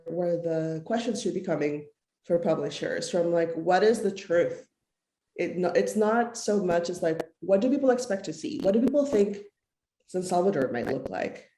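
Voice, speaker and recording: A woman with a mellow, fairly low voice talks earnestly in a roomy, echoey space. She speaks casually as a panelist, often halting to search for the right words. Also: animated. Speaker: author panelist.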